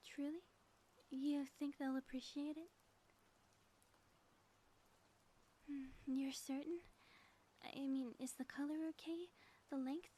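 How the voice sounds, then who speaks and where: Woman playing a high-voiced theatrical ex-supervillainess. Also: steady tone, measured pace, mellow. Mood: anxious, hopeful. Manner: theatrical, formal grandiose diction. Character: theatrical ex-supervillain woman, grandiose schemer, lovestruck devoted spouse. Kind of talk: ASMR audio roleplay.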